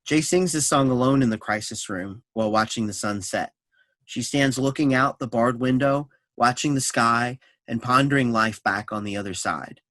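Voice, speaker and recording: A man's voice: mid-range voice, normal speed, fairly bright tone, no echo. A man narrating a staged reading, reading scripted scene descriptions and stage directions.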